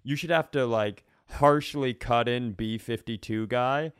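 A man's voice: medium pitch, unhurried, smooth, no room sound, studio quality. A man co-hosting a comedy podcast in casual banter, emphatic and sweary with mock outrage.

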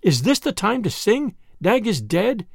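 A brave young mongoose, played by a man reading a storybook speaks in a brisk, determined way. His voice is fairly high with a gravelly grain. It sounds dry and close. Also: sing-song, no room sound, heavily acted. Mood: impatient.

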